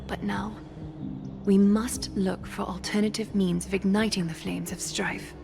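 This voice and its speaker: A woman playing a composed, solemn noblewoman leader in a video game, speaking in a measured, formal, grave manner. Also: medium pitch, fairly even intonation, gravelly, over music. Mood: stern, composed. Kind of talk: scripted video game voice acting.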